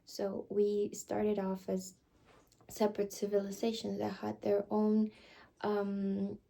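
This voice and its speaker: Slow, husky whispering woman. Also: mid-range voice, monotone. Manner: halting, rambling explanation with many fillers; slips between whisper and soft voice. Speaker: ASMR creator. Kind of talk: ASMR roleplay, soft-spoken and whispered.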